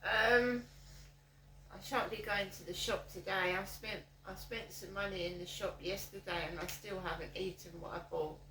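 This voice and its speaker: A middle-aged woman chatting casually in a vlog, meandering slowly with many ums and restarts. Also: mid-range voice, steady tone, sharp tone, reverberant, slight background noise, rough recording. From South East London. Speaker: vlogger. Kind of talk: casual rambling vlog monologue. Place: outdoors on a walk with a dog.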